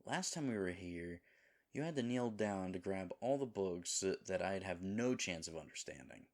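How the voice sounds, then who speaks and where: A man's voice: low-pitched, expressive, moderate pace. A man playing a lovable, nervous himbo boyfriend in an intimate audio roleplay, earnest and rambling. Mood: nervous, romantic. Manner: earnest, rambling, hesitant, dropping into breathy asides.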